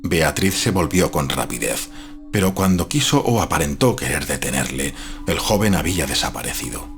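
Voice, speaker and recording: A man with a low, deep voice narrates a gothic literary tale. His storytelling is measured and dramatic. Music plays softly behind the narration. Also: very animated. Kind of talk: scripted literary horror narration.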